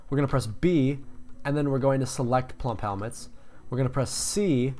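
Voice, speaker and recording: A man's voice: mid-range voice, normal speed, warm tone, a little hiss or hum. A young man casually walking through a game tutorial, explaining step by step with fillers and restarts. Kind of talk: screen-recorded game tutorial.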